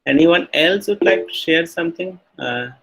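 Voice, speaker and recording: A male university lecturer with an Indian English accent leads an online class. His voice sits a little high and his talk is full of fillers as he coaxes students to answer. It is a relaxed, halting classroom manner. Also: gravelly, poor audio.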